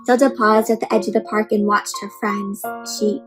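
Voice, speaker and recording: A woman's voice: mid-range voice, little pitch movement, moderate pace, soft background music. A woman hosting an interactive children's picture-book read-aloud, speaking expressively and encouragingly in simple phrases and putting questions to her young listeners.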